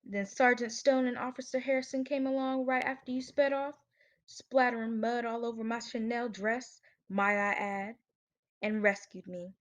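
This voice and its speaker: A woman's voice: fairly high pitch, fairly even intonation, moderate pace, close to an everyday voice. A woman playing an indignant, dramatic jilted gangster's moll in a student radio play. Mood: smug, dramatic.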